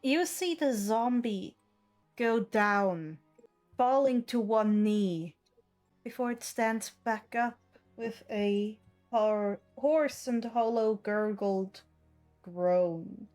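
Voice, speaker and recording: A woman's voice: medium pitch, extremely slow, darkish tone. A woman narrating and refereeing a tabletop roleplaying game as the game master. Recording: music behind the voice. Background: faint music.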